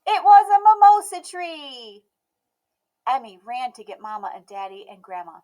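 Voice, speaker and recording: A woman reading a children's picture book aloud as an expressive narrator. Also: very high voice, moderate pace. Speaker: storybook read-aloud host.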